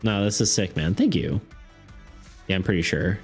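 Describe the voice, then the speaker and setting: A man's voice: sits low, moderate pace. A young man streaming casually about art and game design, rambling with lots of fillers and laughs, saying he is losing his voice. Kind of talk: casual live-stream chat. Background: faint background music.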